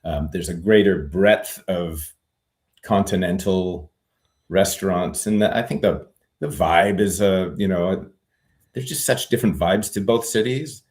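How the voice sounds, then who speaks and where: A man with a very low voice speaks in a relaxed interview, thinking aloud as he goes. He circles back, restarts sentences and fills pauses with "um" before landing his point. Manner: reflective, many fillers and restarts. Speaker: guest, retired immigration lawyer. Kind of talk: conversational interview.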